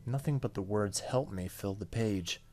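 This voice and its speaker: A man's voice: on the low side, moderate pace, dark tone, faint music behind. A man hosting a gaming video, reading the story aloud and dropping in casual reactions.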